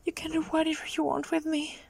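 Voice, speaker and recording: A young adult woman performing a solo audio roleplay in a soft, breathy, pleading manner, often dropping into a whisper. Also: sits high, flat delivery, a little hiss or hum. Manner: slow, drawn-out delivery with frequent whispering.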